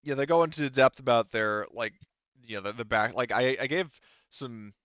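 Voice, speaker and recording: A man running a tabletop roleplaying game as game master, feeling his way through the narration with halting, repetitive phrasing. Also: medium pitch, a bit bright, clean tone, no echo, lo-fi sound. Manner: halting, hesitant phrasing, repeats "you know" and "like".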